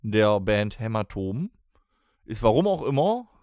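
A man's voice: fairly low pitch, big pitch swings, unhurried, a little mellow, dry recording. A man co-hosting a podcast in casual, blunt, colloquial talk, close to a studio microphone. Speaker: podcast co-host and band musician.

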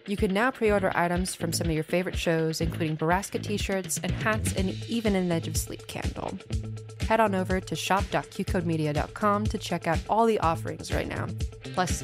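A woman with a slightly low voice delivers a scripted promo for podcast listeners. There is clear music behind her. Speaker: promo announcer.

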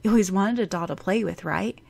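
A woman voicing a seven-year-old boy who is protective of his twin, speaking in a gentle, reassuring way. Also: mid-range voice, brisk, creaky, husky.

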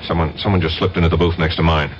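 An actor with an American accent playing a tough, wisecracking, wary federal detective in a 1970s radio drama. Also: male voice, a bass voice, flat delivery, racing. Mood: tense, urgent. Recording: muffled, hissy 1970s radio recording.

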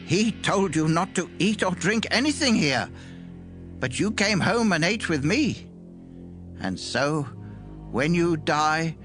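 An actor playing a crafty, insistent and solemn old prophet in a dramatised scripture reading. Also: male voice, on the high side, fairly bright tone.

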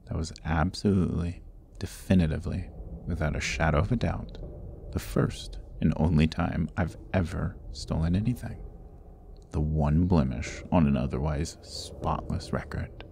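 A man's voice: rumbling low, steady tone, moderate pace, creaky, warm tone, slight background noise. A man playing a sardonic, roguish jailed thief in a fantasy ASMR roleplay, with a wry, teasing delivery that often drops toward a murmur. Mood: sly, wry. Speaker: audio roleplay voice actor.

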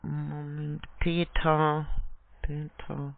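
A man with a creaky voice sells over a tinny phone line. He talks slowly and haltingly, with non-native phrasing, as he takes down an order. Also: on the high side, level tone, a crowd in the background. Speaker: call centre sales agent on the phone. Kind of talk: sales call, taking an order.

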